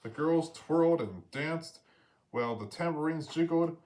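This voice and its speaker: Animated man reading a children's story. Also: on the high side, measured pace. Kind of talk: children's story read-aloud.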